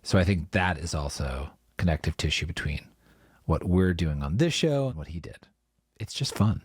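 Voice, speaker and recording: A man with a husky voice speaking conversationally in an interview as a sound designer. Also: sits low, lively intonation, normal speed, dry recording, clean studio sound.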